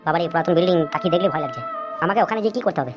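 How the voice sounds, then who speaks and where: A woman's voice: fairly low pitch, expressive, very fast, over music, lightly acted. A woman voicing a timid, wary human villager in a comic cartoon, nervous and full of questions.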